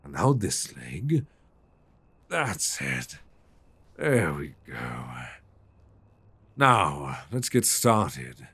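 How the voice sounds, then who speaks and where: A British man playing a stern, possessive, dominant lover in an intimate ASMR roleplay, speaking deliberately and commandingly. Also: rumbling low, very animated, slightly rough, no room sound, heavily acted. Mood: calmly commanding. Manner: slow, deliberate, commanding with soft endearments.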